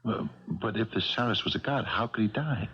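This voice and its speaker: A man's voice: fairly low pitch, normal speed, fairly bright tone, breathy edge. An actor playing an easygoing, skeptical policeman friend, hesitant and homely, in a 1970s radio drama. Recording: dull old radio recording, music behind.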